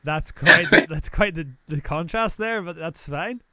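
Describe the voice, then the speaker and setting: A man's voice: a little high, a little slow, bright, forceful tone, no echo. A man in his thirties with a Northern Irish accent, hosting a live-stream interview in a chatty, joking, rambling way. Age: 30s. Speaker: host.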